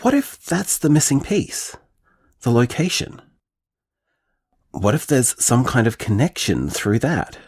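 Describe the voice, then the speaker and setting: Earnest young guy leaving a voicemail, slow husky voice, kinda hesitant, audio drama. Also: medium pitch, sing-song, full character voice. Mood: hopeful, pensive.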